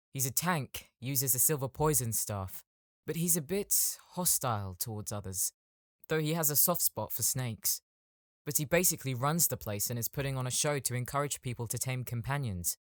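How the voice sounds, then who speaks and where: A man's voice: mid-range voice, animated, moderate pace, no room sound, studio quality, lightly acted. A man playing a knowing, eager young spellcaster in a game-world audiobook, explaining things with a slightly showy manner. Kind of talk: audiobook character acting.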